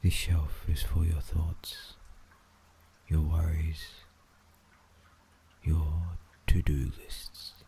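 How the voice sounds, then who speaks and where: Australian male ASMR guide: extremely low, gravelly voice, soothing and half-whispered, for scripted sleep narration. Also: little pitch movement, extremely slow, long pauses. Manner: soothing, hushed, often dropping into a whisper. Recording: faint background noise and ambience.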